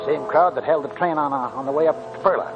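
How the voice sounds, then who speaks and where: An actor playing a shrewd, folksy old American gold prospector in a 1940s radio drama. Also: male voice, on the high side, fast, dull audio, over music, lightly acted. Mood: narrating, lively. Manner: folksy, chuckling.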